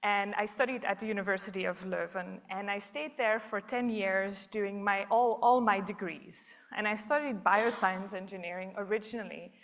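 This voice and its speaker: A woman's voice: medium pitch, steady tone, normal speed, hardly any pauses. A woman in her thirties from Belgium giving a public science talk, explaining things step by step with frequent ums.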